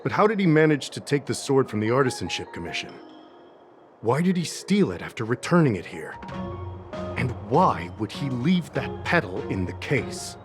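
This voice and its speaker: A man's voice: mid-range voice, sing-song, moderate pace, lightly acted. An actor voicing a methodical, earnest male official working through a case in a game cutscene, reasoning step by step. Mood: puzzled. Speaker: investigating official in a video game. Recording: game audio with soft music behind.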